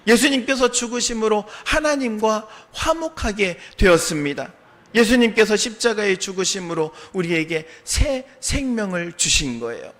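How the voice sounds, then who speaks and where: A man preaching a sermon as a pastor, his voice a little hoarse from a sore throat, earnestly asking the congregation questions and answering them. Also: sits high, animated, moderate pace, a bit bright. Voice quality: slightly strained, hoarse voice. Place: church sanctuary.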